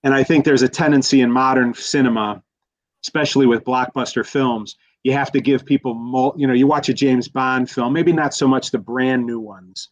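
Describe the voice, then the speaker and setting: A man's voice: medium pitch, fairly even intonation, moderate pace. Rambling man on a lo-fi call. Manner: rambling, many restarts and fillers. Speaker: guest commentator. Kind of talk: casual podcast discussion. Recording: slightly dull lo-fi audio.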